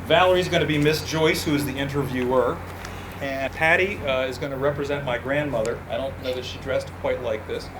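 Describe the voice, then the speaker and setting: Man, event host, relaxed talk, roomy echo, background noise. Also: mid-range voice, brisk. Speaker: family member presenting the event. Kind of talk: speaking to a live audience. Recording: echoey noisy space.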